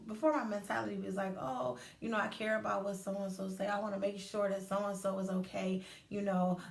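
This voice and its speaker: Thirty-something woman host, lively and talky, recorded at a distance in a reverberant room. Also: medium pitch, vocal fry. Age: 30s. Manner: animated, chatty, acts out inner voices. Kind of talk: casual livestream talk. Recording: roomy echo, distant from mic.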